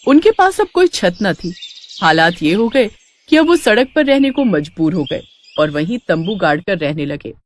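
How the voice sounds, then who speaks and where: A woman's voice: on the low side, big pitch swings, moderate pace, slight background noise. A woman narrating a scripted moral bedtime story in a dramatic storytelling style.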